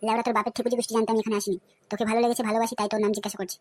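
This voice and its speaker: Brash young male cartoon suitor played by a woman, West Bengal accent, crude swagger, very rapid delivery, music underneath. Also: fairly high pitch, little pitch movement, a crowd in the background, lightly acted. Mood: angry bullying. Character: brash, foul-mouthed young male suitor, pushy and menacing. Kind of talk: comedy cartoon dialogue. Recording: music behind the voice.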